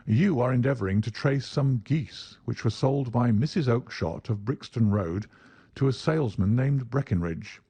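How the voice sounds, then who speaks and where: A man voicing a calm, shrewd detective who speaks in a bland, measured, assured way, in a storybook reading. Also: mid-range voice, creaky, slightly rough, dry recording, muffled recording.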